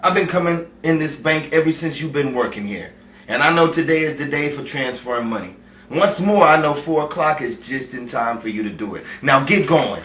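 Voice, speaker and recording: A man playing a scheming, pushy small-time crook in a 1950s crime melodrama, talking in halting, insistent persuasion. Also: on the high side, expressive, fairly bright tone. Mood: menacing. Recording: echoey, distant, noisy room recording.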